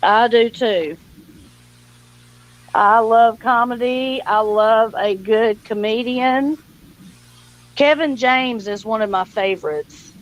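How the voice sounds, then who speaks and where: A woman's voice: a little high, slow pace, pauses often, rough recording. A woman hosting a casual livestream, chatty, folksy and welcoming. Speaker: livestream host.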